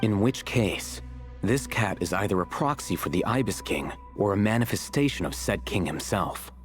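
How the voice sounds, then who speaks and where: A man acts as an aloof, learned game character with a notably deep voice. He lectures in a composed, measured manner and never seems hurried. Clear music plays behind his matter-of-fact speech. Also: expressive, lightly acted. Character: composed, learned male scholar, aloof and unhurried. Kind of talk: video game character dialogue.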